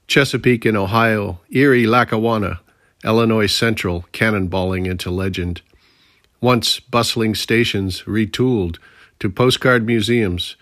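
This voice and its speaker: Lowish older American man, poet reading. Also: measured pace, fairly bright tone, no room sound, clean studio sound. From Pittsburgh, Pennsylvania, USA. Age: 60s. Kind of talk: prepared introduction and poetry reading.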